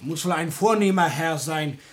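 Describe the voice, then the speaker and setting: High-pitched, eager peasant messenger, acted by a man. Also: moderate pace, lightly acted. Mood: deferential, eager. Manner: obsequious, eager. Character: deferential, simple peasant man bringing a message. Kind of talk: theatrical radio comedy acting.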